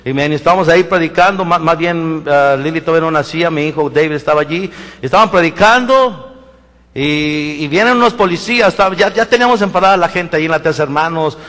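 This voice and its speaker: A Mexican pastor preaches in a somewhat high voice and tells his own stories with energy, acting out the voices of the people in them. He often stops and restarts his sentences as he talks. The sound rings with the long reverb of a church hall. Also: fast talker. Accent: Mexican Spanish. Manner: animated storytelling, acts out quoted voices, many restarts. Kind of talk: sermon with personal stories. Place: church sanctuary.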